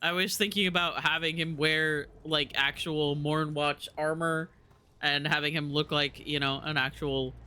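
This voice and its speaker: A man's voice: on the high side, steady tone, unhurried, sharp tone, clean tone. A man giving casual live commentary as a game streamer, talking into a close mic.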